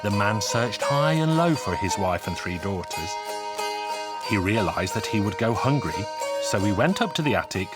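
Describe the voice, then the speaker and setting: Low-voiced man telling folk tales over music. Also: animated, moderate pace. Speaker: storyteller narrator. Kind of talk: scripted folk tale narration for animation. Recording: music under the voice.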